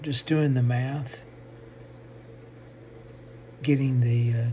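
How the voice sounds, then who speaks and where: A man narrating a hands-on repair demonstration, haltingly thinking aloud as he works at a bench indoors. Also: mid-range voice, dark tone, a little hiss or hum. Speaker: host of a radio repair channel.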